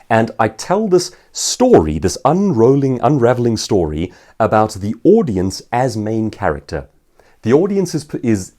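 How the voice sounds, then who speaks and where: A man who works as an author and professional speaker, giving an informal seminar in an articulate, emphatic storytelling manner with dramatic pauses. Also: on the low side, mellow. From South Africa. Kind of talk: informal seminar answering viewers' questions.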